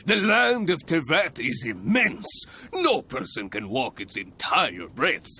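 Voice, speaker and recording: Boastful, wildly animated adventurer. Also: male voice, on the high side, slow, breathy edge, heavily acted. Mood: boastful, grand. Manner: grandiose, theatrical, jovial. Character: boastful, hearty middle-aged male adventurers' guild master. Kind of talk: video game voice acting.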